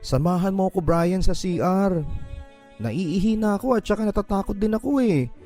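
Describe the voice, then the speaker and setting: Man voicing a pleading, higher-pitched frightened young woman. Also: expressive, normal speed, warm tone. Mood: nervous, pleading. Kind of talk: Tagalog radio drama acting. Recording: background music.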